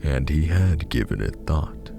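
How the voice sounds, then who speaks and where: A man narrates horror fiction in an extremely low voice. He reads slowly and with measured drama, his tone thick with gravel. Music plays clearly underneath. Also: animated. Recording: music behind the voice.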